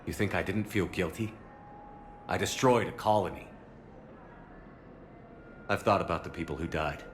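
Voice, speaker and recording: A man playing a steadfast military commander in a video game, speaking in a measured, grave manner. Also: sits low, a little hiss or hum, close to an everyday voice. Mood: remorseful.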